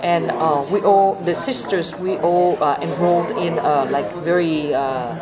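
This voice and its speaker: A woman's voice: low-pitched, fairly even intonation, unhurried. An older woman from Vietnam telling her life story in an interview, hesitant with many fillers and frequent laughs. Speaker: interview guest. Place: restaurant in Bangkok. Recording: busy crowd noise behind.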